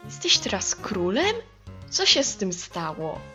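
A woman's voice: medium pitch, big pitch swings, measured pace, bright, forceful tone, dull audio. A woman voicing a brash, blunt teenage monster schoolgirl in a cartoon fan dub, brusque and sarcastic. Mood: puzzled. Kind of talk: fan-dub comic acting. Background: music.

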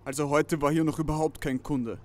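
A man's voice: a little high, steady tone, fairly quick, natural voice. A man playing a hapless, lonely pet shop owner in an audio drama. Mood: helpful.

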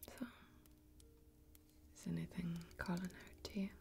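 A young woman playing a self-assured, mysterious warrior woman in a whispered fantasy ASMR role-play, with a creaky voice. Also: fairly low pitch, little pitch movement, unhurried, darkish tone, faint music behind. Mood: cool, confident. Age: young adult.

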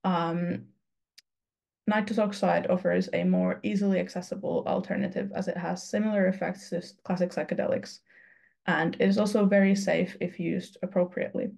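Low creaky-voiced woman giving a slide talk. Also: steady tone, moderate pace, darkish tone. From Finland. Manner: earnest, explanatory, with frequent ums and restarts. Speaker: student researcher presenting. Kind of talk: conference talk over slides. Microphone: video call webcam.

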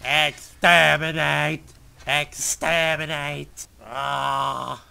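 A hostile robotic alien shrieks in a shrill, grating monotone, put on by a man. The voice sits very high and bright, with slow, stretched-out cries. Game noise hums faintly underneath. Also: gravelly, clear, resonant tone, exaggerated voice. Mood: menacing.

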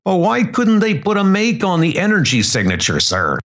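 A man's voice: high-pitched, huge pitch swings, moderate pace, fairly bright tone, pitched above the performer's own voice, heavily acted. A man voicing an anxious male soldier in a comic dub, with clipped military radio-call delivery. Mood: alarmed, urgent.

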